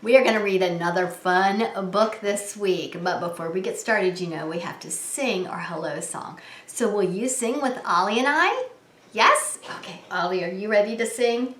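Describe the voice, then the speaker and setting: A woman's voice: mid-range voice, expressive, moderate pace, a bit bright. A woman hosting a preschool story time, talking to young children in a sing-song way with simple questions. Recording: roomy, echoey room sound.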